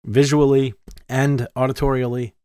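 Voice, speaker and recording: A man co-hosting a podcast in casual chat, rambling and animated, stumbling over restarts. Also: mid-range voice, moderate pace, clean tone, no echo, studio quality. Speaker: podcast co-host.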